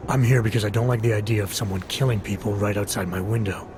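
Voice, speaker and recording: An actor playing a terse, skeptical detective in a video game, probing in a clipped, dry, challenging manner. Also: male voice, lowish voice, fairly even intonation, quick pace, natural voice. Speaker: Interpol investigator. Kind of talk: video game cutscene dialogue. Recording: background music under dialogue.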